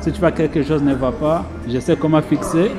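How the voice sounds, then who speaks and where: A man's voice: a little high, a little fast. Frenchman giving a testimonial over music. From France. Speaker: pastry chef and business owner being interviewed. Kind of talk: casual personal testimonial.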